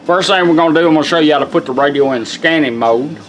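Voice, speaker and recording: Male demo presenter with a fairly high voice, homespun and casual, radio music playing behind him. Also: fast talker. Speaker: presenter demonstrating a car radio. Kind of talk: casual product demonstration. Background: car radio music.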